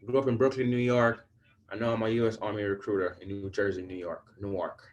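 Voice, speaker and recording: A man's voice: fairly low pitch, level tone, fairly quick, highs cut off, slight background noise. A man from Jamaica, an army recruiter, adding points in a casual way and often checking with "right?". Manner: casual, keeps asking "right?". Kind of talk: informational talk.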